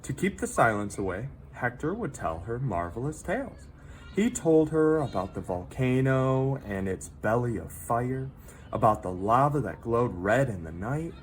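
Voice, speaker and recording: A man reads a children's story aloud in an expressive, dramatic storyteller manner, pausing for effect. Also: mid-range voice, a little hiss or hum. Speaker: teacher reading a picture book aloud.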